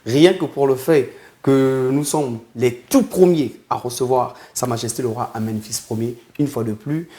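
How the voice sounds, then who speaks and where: A man hosting a TV studio interview, with a mid-pitched, ordinary voice. He speaks formally and with great deference. He addresses his guest by grand titles and speaks to viewers with ceremonious courtesy. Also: warm tone. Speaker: TV journalist and host.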